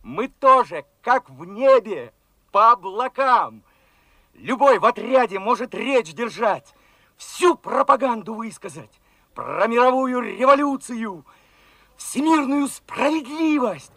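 High-pitched, eager young revolutionary soldier. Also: male voice, takes it slowly, no echo, full character voice. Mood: fervent. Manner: excited, colloquial, eager questioning. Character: fervent young revolutionary soldier at the 1918 front. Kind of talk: Soviet radio play acting.

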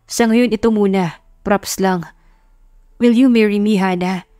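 A woman's voice: mid-range voice, steady tone, unhurried, dark tone, no room sound, studio quality, close to an everyday voice. A woman voicing an earnest, lovestruck young man making intense, sincere declarations in an audio love story.

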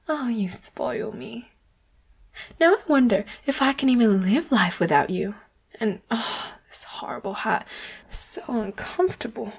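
A woman acts out a secretive female boss, part leopard, for an audio roleplay. Her voice is a little high and very airy. She fusses coyly and often drops into a soft whisper, sweet on the surface. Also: heavily acted. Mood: wistful, complaining. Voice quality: soft, breathy. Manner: coy, fussing, often slipping into whispers. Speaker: voice actor. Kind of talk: scripted roleplay audio drama.

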